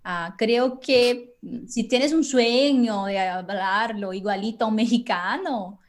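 A woman's voice: a little high, slow pace. A woman who teaches Spanish, talking animatedly as a guest on a casual podcast and laughing between phrases.

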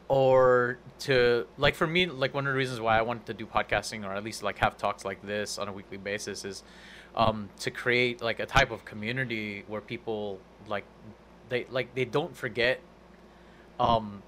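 A man's voice: fairly low pitch, fairly bright tone, a little hiss or hum. A man hosting a live podcast, rambling through his thoughts with many fillers and restarts. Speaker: podcast host. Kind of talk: casual podcast chat.

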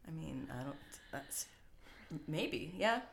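A woman's voice: low-pitched, expressive, slow, gravelly. A woman co-hosting a podcast in casual chat, with a playful, bantering manner. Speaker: podcast co-host. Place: living room.

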